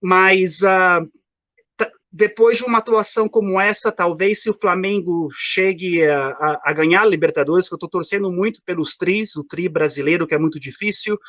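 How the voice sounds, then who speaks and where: A man's voice: high voice, moderate pace, sharp tone, dry recording, muffled recording. A man, calling into a live show and chatting in a rambling way with many fillers and restarts. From São Paulo, Brazil. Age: 50s. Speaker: caller. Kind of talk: casual chat. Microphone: remote call.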